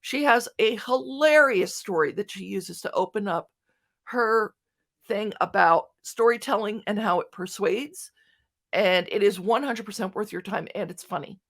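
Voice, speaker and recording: A woman presents informally at an online conference in a medium-pitched voice with noticeable vocal fry. She is chatty and self-deprecating, slipping joking asides into her explanations. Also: a little slow. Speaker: conference speaker, writer and podcaster. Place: online video conference talk.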